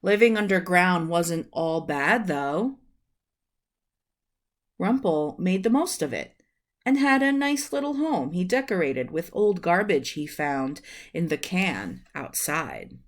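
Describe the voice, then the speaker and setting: A woman in her thirties reading a children's book aloud as a storyteller, deliberate, with drawn-out phrases and small asides to the listener. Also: lowish voice, sing-song. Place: small room at home, indoors.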